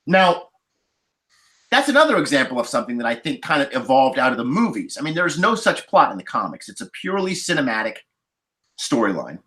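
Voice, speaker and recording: A man's voice: medium pitch, sing-song, fairly quick, pushed and bright. A man in a casual roundtable discussion as a podcast panelist, explaining things in a digressive way while getting over a cold. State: recovering from a cold, occasional cough.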